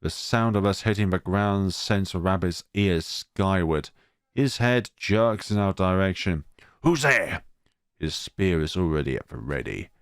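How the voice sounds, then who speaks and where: A man reading a visual novel aloud as dramatic narration, expressive and reacting as he goes. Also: low-pitched, unhurried, clean tone, dry recording, clean studio sound. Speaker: let's player reading a game aloud. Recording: music behind the voice. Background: game music.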